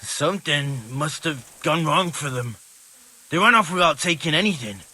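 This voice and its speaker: A man playing a bitter, gruff, foul-mouthed wounded stable hand in a video game cutscene, with a husky voice. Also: fairly high pitch, animated, normal speed, sharp tone, full character voice, rough recording. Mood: gruff, weary. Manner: gruff, blunt, swearing.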